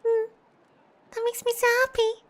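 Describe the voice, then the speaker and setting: Very high-pitched, cutesy woman streamer. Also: level tone, normal speed. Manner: gushing, repetitive greetings, cutesy. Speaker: VTuber streamer. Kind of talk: casual livestream chat.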